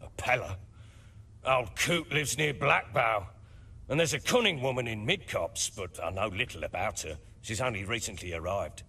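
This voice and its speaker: A burly middle-aged baron from a fantasy game. His voice is low, rough and forceful, swinging widely in pitch, with a gruff, defensive bluster. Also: expressive, normal speed, heavily acted. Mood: gruff, wary. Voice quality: rough, husky. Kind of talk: video game voice acting.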